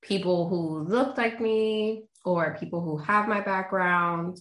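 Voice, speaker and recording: A woman's voice: fairly low pitch, slow. A young woman from Honduras whose first language is Spanish, a therapist telling her story candidly in a casual interview. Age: young adult. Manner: candid, lively storytelling with frequent fillers. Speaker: therapist guest. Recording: roomy echo.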